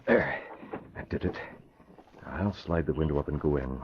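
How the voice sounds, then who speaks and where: An actor playing an assured newspaperman who is secretly a masked crimefighter, with crisp, commanding delivery in a vintage radio drama. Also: a bass voice, moderate pace. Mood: hushed, purposeful. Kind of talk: 1940s radio acting. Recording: muffled, noisy old radio recording with clicks.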